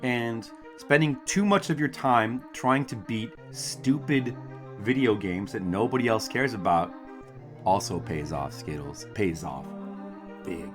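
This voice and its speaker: A man's voice: on the low side, unhurried, music in the background. A man in his forties, a game streamer, chatting casually and ramblingly to his viewers at home, with self-mocking humor. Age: 40s.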